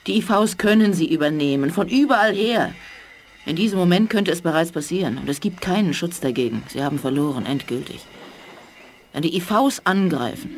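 Low-voiced, proud alien woman. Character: proud, fatalistic alien woman of an advanced empire. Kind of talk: 1980s German radio play acting.

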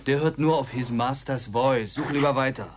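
A man plays a bossy gangster ringleader in a radio play. His mid-pitched voice is curt and commanding, with an impatient, menacing, slangy delivery. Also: moderate pace, background noise, natural voice. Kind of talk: German radio drama acting.

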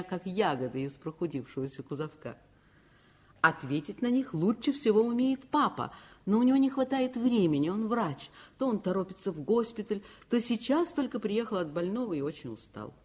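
A woman's voice: medium pitch, darkish tone, slightly rough. An actress playing a curious, eager nine-year-old girl who narrates her own story in a radio play.

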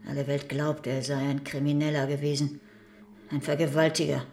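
A woman's voice: deep voice, flat delivery, normal speed, darkish tone. An actress playing a middle-aged, grieving mother in a radio crime drama, curt, hostile and accusing. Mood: bitter, accusing. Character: bitter, accusing grieving mother of a murdered son.

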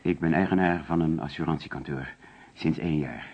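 A middle-aged male suspect in a radio crime play, very deep and husky. He is a defensive, hesitant businessman on edge, heard through a dull old recording. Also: fairly quick. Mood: curt, guarded. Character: agitated, defensive middle-aged businessman under police questioning. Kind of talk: radio drama acting.

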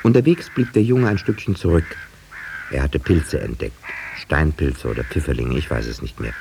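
An actor playing a village schoolteacher who narrates a 1950s German radio drama in a measured, precise, dryly ironic way. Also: male voice, extremely low pitch, lively intonation, vocal fry, noisy background, exaggerated voice. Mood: musing. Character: village schoolteacher narrator, a thoughtful, dry-witted man.

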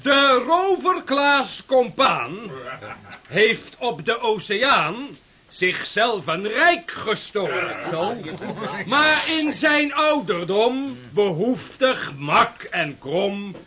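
A man's voice: high voice, sing-song, drawn-out words, bright, forceful tone, a little hiss or hum, full character voice. An actor playing a plain-spoken, rhyme-making helmsman in a radio drama, with an emphatic, declamatory delivery. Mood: playfully reciting.

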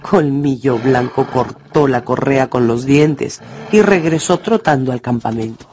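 A man narrating a dramatised radio story with theatrical, deliberate phrasing. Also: medium pitch, darkish tone, a little hiss or hum. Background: music.

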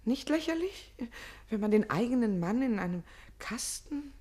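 Twittering, coquettish young wife, very lively. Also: female voice, fairly high pitch. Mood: excited, scheming. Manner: twittering, coquettish, quickly wandering. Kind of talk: German radio play acting.

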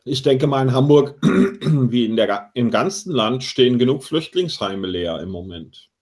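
A man chatting casually on a panel show, with wry, deadpan asides. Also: medium pitch, moderate pace. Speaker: panelist on a live talk show. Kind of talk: casual chat with dry asides.

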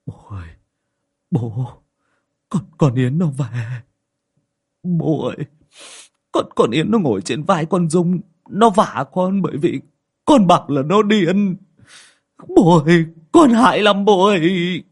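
A man voicing a grown son, gruff and blunt, who stammers pleas in terror. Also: fairly low pitch, expressive, slow, pauses often, heavily acted. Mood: terrified. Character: grown son, sullen then terrified and sobbing.

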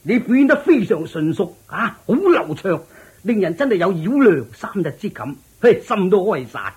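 A man's voice: high voice, very animated, slightly rough, muffled recording, heavily acted. A man playing a pompous, music-loving prince in a Cantonese radio drama, drawing out effusive exclamations in a theatrical way. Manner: theatrical, drawn-out exclamations of praise.

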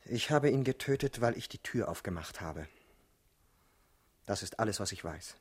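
Troubled male assassin, husky and a bit low, brooding, searching, german radio drama. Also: expressive, normal speed. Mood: defensive, agitated. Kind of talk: German radio play acting.

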